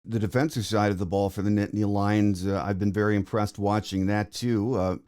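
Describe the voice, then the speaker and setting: A man's voice: fairly low pitch, level tone, brisk, a little mellow, smooth. An American man hosting a sports podcast, chatting through observations and putting admiring, leading questions to his guest. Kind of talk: conversational sports interview.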